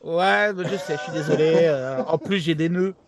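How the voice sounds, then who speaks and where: A man's voice: fairly high pitch, normal speed, a crowd in the background. A man chatting casually as a guest panelist, sarcastic and playfully boastful. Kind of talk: casual chat show banter.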